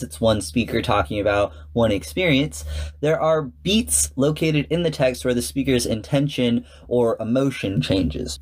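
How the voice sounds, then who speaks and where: Male podcast critic, medium pitch, scripted and articulate, enthusiastic delivery with a touch of theatre. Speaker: podcast host and reviewer.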